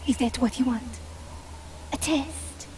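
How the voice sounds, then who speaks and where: A woman's voice: sits high, slow, dark tone, slight background noise. A woman voicing a proud, commanding Italian resistance leader in a video game, speaking with challenging authority. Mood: stern, challenging.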